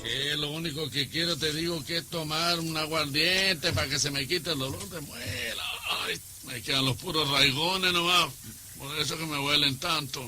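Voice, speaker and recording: A man's voice: on the high side, moderate pace, over music, natural voice. An actor playing a stubborn, fearful, whining Chilean country man who likes his liquor, in an old comic radio drama. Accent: rural Chilean. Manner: whining, complaining, exclaiming.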